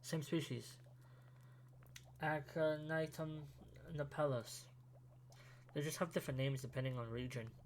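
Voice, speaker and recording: A man playing a principled, thoughtful young wizard student in a fan audio drama, speaking in a calm, measured way. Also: fairly high pitch, natural voice. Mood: calm, matter-of-fact.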